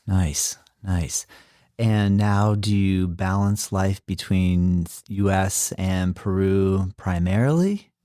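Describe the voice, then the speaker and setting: Eager low-voiced male podcast host. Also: slow, dark tone, no echo, studio quality. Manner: enthusiastic, full of filler words. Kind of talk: podcast interview.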